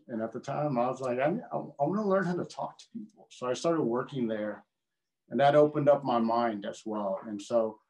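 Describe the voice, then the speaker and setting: A man's voice: medium pitch, darkish tone, rough recording. Earnest guy in his 40s, vietnamese first language, chatting on a video call from home, lots of "you know". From Houston, Texas. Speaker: oral history interviewee. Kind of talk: reflective personal storytelling. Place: home room, indoors.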